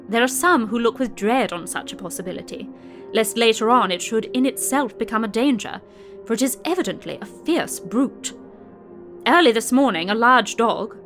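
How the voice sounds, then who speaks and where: An observant newspaper correspondent in an audio drama. She reads her report in a mid-range voice, measured and formal, with clear music behind her. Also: medium pitch, a bit bright. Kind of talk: audio drama narration, reading a dispatch.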